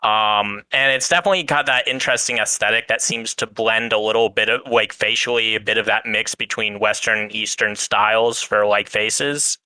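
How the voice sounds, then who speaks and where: A man's voice: fairly low pitch, fairly even intonation, moderate pace, few pauses, bright, forceful tone, clean tone, no room sound, poor audio. A man talking casually as a guest reviewer, giving rambling explanations with plenty of qualifiers. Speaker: guest reviewer on a fan podcast. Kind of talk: casual discussion.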